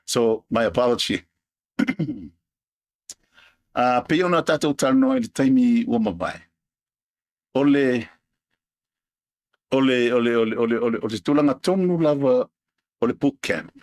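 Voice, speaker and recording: Samoan guy hosting his podcast, mid-pitched voice, lots of restarts, dry close sound. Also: very animated, a little mellow, no room sound. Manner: halting, with many restarts and repeated words. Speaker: podcast host, Marine veteran. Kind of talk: casual podcast monologue, switching into Samoan.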